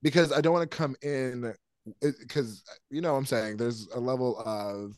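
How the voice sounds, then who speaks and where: A man's voice: mid-range voice, normal speed, no echo. A man co-hosting a podcast, chatting casually and reflectively about personal things in a probing, questioning way. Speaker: podcast co-host.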